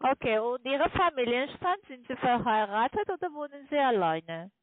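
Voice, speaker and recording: High-pitched woman, non-native German, tinny phone line. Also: very animated, dry recording. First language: not German. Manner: halting, formal, non-native phrasing. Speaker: call centre agent. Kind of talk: phone sales call.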